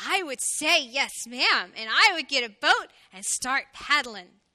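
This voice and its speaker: A woman's voice: high-pitched, very animated, measured pace, bright, forceful tone, dry recording, studio quality, heavily acted. A woman voicing an eager, adoring little boy hedgehog in a children's storybook, with exuberant, emphatic declarations. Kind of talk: children's storybook read aloud.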